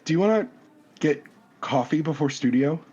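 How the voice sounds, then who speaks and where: A man's voice: on the high side, animated, moderate pace, mellow, slightly rough, muffled recording. A man playing a shy young art student in an audio drama. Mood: shy, hesitant.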